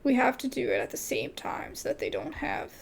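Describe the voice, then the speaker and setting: A woman hosting a casual gaming video, reading game dialogue aloud haltingly and adding her own asides. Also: high-pitched, huge pitch swings, creaky, slight background noise.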